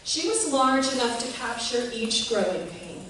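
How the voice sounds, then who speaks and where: A woman giving an expressive, measured reading of a literary piece, recorded in a reverberant room from a distance. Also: fairly high pitch. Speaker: reader of a short literary piece. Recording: echoey room, distant mic, faint noise.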